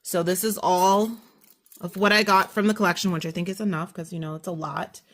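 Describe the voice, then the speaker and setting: A woman with a slightly low voice talks through her purchases in a chatty, detailed way. She has a slight cold and sniffles now and then, and she often stops to correct herself. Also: steady tone, fairly quick, fairly bright tone. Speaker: haul vlogger. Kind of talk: casual product haul walkthrough.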